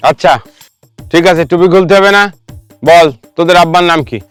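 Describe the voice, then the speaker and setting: A suspicious village uncle from a comedy drama acted by a man, with a smooth, clear voice pitched slightly high. He questions and orders people about, with faint music behind. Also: little pitch movement, brisk, a bit bright, no echo, close to an everyday voice. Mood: suspicious, stern. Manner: interrogating, commanding.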